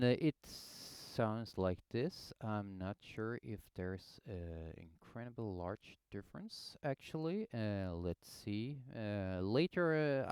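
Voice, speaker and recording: A man's voice: fairly low pitch, darkish tone, smooth, no echo, clean studio sound. A young man with a Swedish accent, his first language Swedish, casually narrating a home microphone test with lots of fillers and restarts. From Sweden. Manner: rambling, many fillers and restarts. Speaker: home studio hobbyist testing microphones. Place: home recording setup. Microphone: headset and studio condenser microphone.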